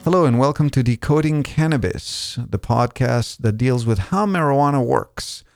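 Gravelly Israeli man hosting a podcast. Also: medium pitch, normal speed, over music. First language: Hebrew. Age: 40s. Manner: chatty, joking, many fillers. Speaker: podcast host. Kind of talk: casual podcast interview.

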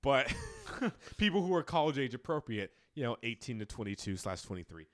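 A man's voice: mid-range voice, big pitch swings, a little fast, no room sound, studio quality. A man in his late twenties, co-hosting a casual podcast, talking in long rambling stretches with lots of fillers and self-deprecation. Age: 20s. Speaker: podcast co-host. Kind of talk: casual chat.

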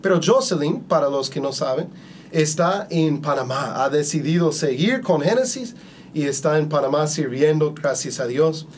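A man hosting a conversational podcast interview, speaking haltingly and often repeating his words. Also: fairly high pitch, a little hiss or hum.

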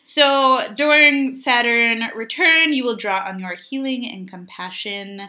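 Young woman, podcast co-host, halting and filler-heavy; bright tone. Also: a little high. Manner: halting, many filler words, trails off. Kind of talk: casual chat.

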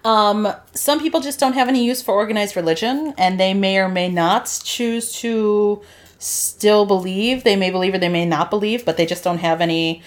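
A woman's voice: mid-range voice, normal speed. A young woman from the Chicago area co-hosting a casual podcast chat, laughing often between her phrases. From Chicago area, Illinois. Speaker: podcast co-host.

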